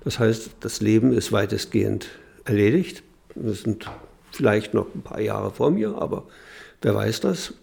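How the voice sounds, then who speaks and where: An elderly man in his eighties, from Mecklenburg, recounts his own life. His voice is fairly low and heavily gravelly, and he talks haltingly and plainly. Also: expressive, a little mellow. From Mecklenburg, Germany. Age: 80s. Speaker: retired printing engineer, interviewee. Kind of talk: personal spoken recollection.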